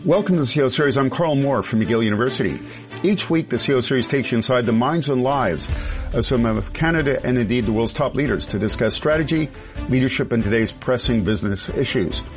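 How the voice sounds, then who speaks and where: A man's voice: mid-range voice, animated, quick pace, faint music behind. A man hosting a radio interview show, asking curious questions with casual asides. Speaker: radio talk show host. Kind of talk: interview conversation.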